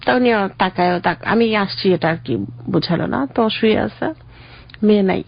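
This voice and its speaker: A middle-aged woman with a Bangladeshi accent and a husky, creaky voice, telling her personal story as an interview guest. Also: mid-range voice, moderate pace, muffled recording.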